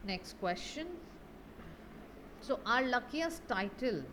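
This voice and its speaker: A woman's voice: a little high, animated, takes it slowly, fairly bright tone, slight background noise. A woman English grammar teacher explaining exercise answers in a didactic way, often checking understanding with tag questions.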